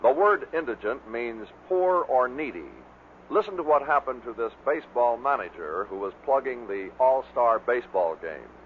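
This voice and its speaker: A man's voice: mid-range voice, lively intonation, moderate pace. An American man reading scripted narration as the narrator of a compilation. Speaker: narrator of a radio bloopers compilation. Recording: muffled phone-like vintage recording, faint noise.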